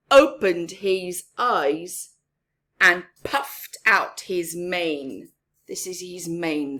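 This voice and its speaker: A woman's voice: on the low side, fairly bright tone. A woman reading a story aloud to young children, expressively, with simple explanatory asides. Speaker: children's story-time reader.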